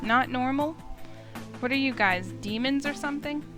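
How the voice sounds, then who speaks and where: A woman playing a flustered, sceptical young heroine, narrating a visual novel in the first person with expressive dialogue. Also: high voice, normal speed. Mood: incredulous. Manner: incredulous, expressive. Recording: music behind the voice.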